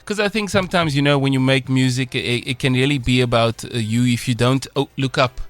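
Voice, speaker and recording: A man's voice: medium pitch, brisk, a bit bright. A man with a South African accent hosting a radio interview, chatty and enthusiastic, with rambling questions. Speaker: radio host. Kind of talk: casual interview chat. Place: radio studio.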